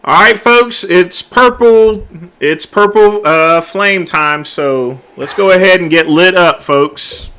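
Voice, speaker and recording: Loud laid-back livestream host, bright voice. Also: male voice, high voice, slow, clean tone. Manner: loud, laid-back, drawls filler words like "there". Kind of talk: casual late-night livestream chat.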